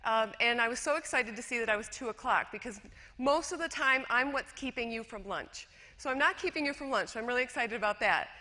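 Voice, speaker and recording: A woman's voice: high voice, pushed and bright. A woman educator from rural western New York giving a chatty, self-deprecating conference talk with slides, full of fillers and restarts. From rural western New York, USA. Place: lecture hall. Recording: long hall reverb.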